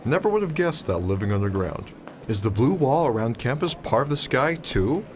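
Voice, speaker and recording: A man's voice: mid-range voice, very animated, moderate pace, slight background noise, natural voice. A man playing a naive, wide-eyed, awkward college freshman in an audio drama, speaking in a hesitant, curious, slangy way.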